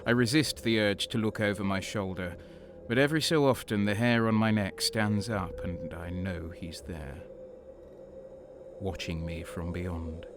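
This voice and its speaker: A man playing a haunted traitor soldier who narrates his war in a grave, deliberate way for an audio drama. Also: deep voice. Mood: haunted, brooding. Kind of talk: dramatic scripted first-person narration. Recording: music under the voice. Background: dramatic music.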